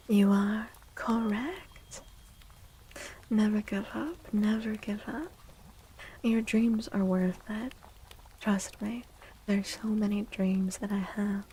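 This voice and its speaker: A woman streaming soft-spoken ASMR tarot readings in a breathy half-whisper, gentle and encouraging. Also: medium pitch, slight background noise, low-quality recording.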